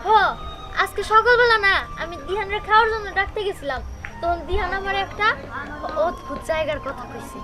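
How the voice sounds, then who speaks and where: A woman voices a helpful young village girl in a cartoon, with a tiny, extremely high voice. She chats and explains reassuringly over loud music. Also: a little fast, a crowd in the background. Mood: eager explaining. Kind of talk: Bengali cartoon dubbing.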